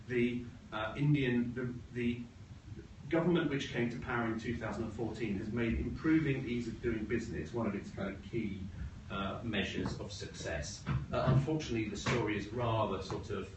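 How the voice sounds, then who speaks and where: A middle-aged British man giving an informal business presentation in a measured way with wry asides. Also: mid-range voice. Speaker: presenter, agency co-founder. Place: room at a talk event. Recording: roomy, noisy, distant mic.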